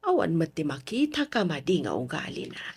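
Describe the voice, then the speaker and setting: A woman playing a fond, chatty middle-aged mother in a Filipino radio drama, expressive and drawn out. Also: deep voice, a little fast, creaky, a little hiss or hum, heavily acted. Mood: wistful, fond.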